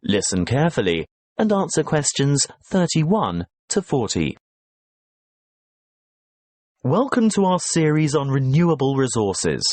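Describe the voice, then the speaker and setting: A man reading scripted educational narration for a listening test in a measured, instructional way. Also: medium pitch, big pitch swings, darkish tone, no echo.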